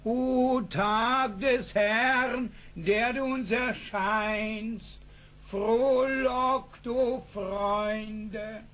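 A sanctimonious, odd psalm-singing man in a vintage radio drama. His voice sits high for a man and drags out each phrase in unctuous preaching. A faint hiss lies under the recording. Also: fairly even intonation, fairly bright tone, full character voice. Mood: rapturous. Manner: unctuous, preachy, drawn-out delivery. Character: pious, eccentric male psalm-singing teacher, solemn and foolish. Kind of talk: German radio play acting. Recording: hissy, noisy old radio play record.

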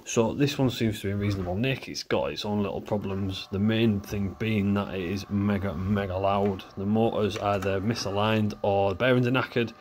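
Low-voiced man, nasal from a cold. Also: moderate pace, few pauses, slight background noise. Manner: chatty, relaxed, talks while working with hands. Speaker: repair video host. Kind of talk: casual repair walkthrough.

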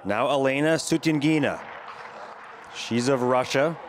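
A man giving measured play-by-play commentary on a ski jumping competition, speaking as a voice-over. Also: mid-range voice, a little hiss or hum. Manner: calm, measured play-by-play, lifting on big jumps. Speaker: sports commentator.

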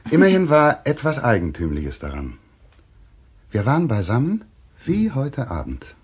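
Husky, earnest doctor. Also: male voice, medium pitch, huge pitch swings, moderate pace. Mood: grave, hesitant. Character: earnest, hesitant doctor troubled by a nightmare. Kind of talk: radio play acting.

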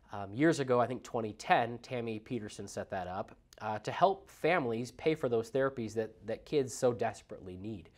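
A man in his thirties hosting a podcast, chatting casually with lots of fillers, a bit congested from a cold, recorded on a lapel mic in a small studio. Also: medium pitch. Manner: chatty, filler-heavy, earnest. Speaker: podcast host. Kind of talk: casual interview chat. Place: small studio lounge set.

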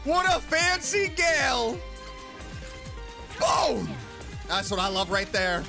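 A reaction streamer gushing over a game trailer with loud exclamations and frequent laughter. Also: high-pitched, takes it slowly, music in the background. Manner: excitable, laughs often, exclaims loudly. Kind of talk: casual reaction commentary.

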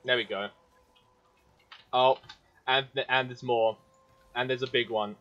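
A man's voice: mid-range voice, fairly even intonation, unhurried, a bit bright. A man giving casual commentary as he plays a video game. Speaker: gaming video host. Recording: dull, lo-fi microphone sound.

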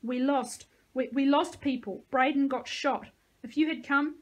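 A woman's voice: sits high, fairly even intonation, voice pushed up. A woman voicing an edgy, wounded teenage girl with an accusing manner, in an audiobook. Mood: accusing, upset. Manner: accusing, halting when upset.

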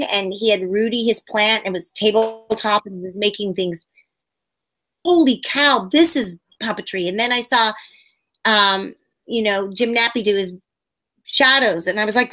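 Woman, 40s, puppeteer, casual storytelling, animated, squeals when quoting, webcam video call, room at home. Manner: animated, acts out quotes with sudden high squeals. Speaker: puppeteer guest.

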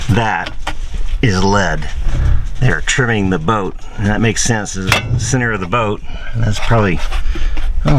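A man's voice: sits low, slight background noise. A man in his fifties chatting casually as he shows around a sailboat, pointing things out on a handheld camera. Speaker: sailing vlogger. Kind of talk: casual show-and-tell vlog. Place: aboard a sailboat, cabin and deck. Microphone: handheld camera mic.

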